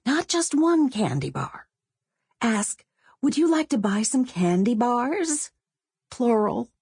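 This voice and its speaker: A woman's voice: fairly high pitch, very animated, slow, darkish tone, breathy edge, dry recording, studio quality, voice pushed up, full character voice. A woman voicing a bossy eleven-year-old schoolgirl in an audiobook, giving insistent, clipped instructions. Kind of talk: audiobook character voice.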